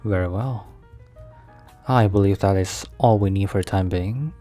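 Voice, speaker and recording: A man playing an overprotective mafia boss boyfriend in an ASMR roleplay, speaking formally with measured, halting, slightly stilted phrasing. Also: low-pitched, dark tone, soft background music. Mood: formal, composed.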